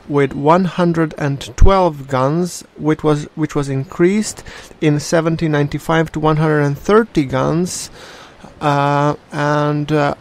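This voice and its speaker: Man, non-native English accent, gameplay narration, hesitant, slightly high voice, gravelly. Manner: slow and hesitant, many fillers. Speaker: gameplay commentator.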